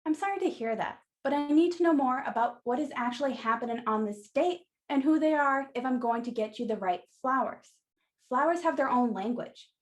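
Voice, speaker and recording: A high-pitched actress as a female shopkeeper in a radio play. She is blunt and sassy, with a brisk, wry, no-nonsense way of talking to customers. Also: expressive. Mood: advising.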